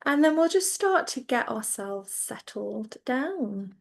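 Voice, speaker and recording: A woman teaching yoga nidra, guiding listeners into relaxation in a gentle, reassuring, informal way. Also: on the high side, sing-song, slow, vocal fry. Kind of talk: guided relaxation instruction.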